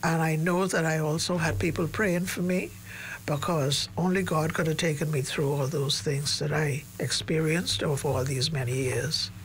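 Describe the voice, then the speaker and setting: Ninety-year-old woman, gentle and unhurried. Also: low-pitched, fairly even intonation, a little hiss or hum, rough recording. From Bahamas. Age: 90s. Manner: gentle, warm, searching for names with pauses. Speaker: interview guest, retired education leader. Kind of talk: reflective interview conversation. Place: small studio, indoors. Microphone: desk microphone on boom.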